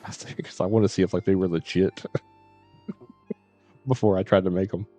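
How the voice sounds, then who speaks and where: A man live streaming, chatting casually in a halting, rambling way that trails off and circles back. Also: low voice, steady tone, warm tone. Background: faint music.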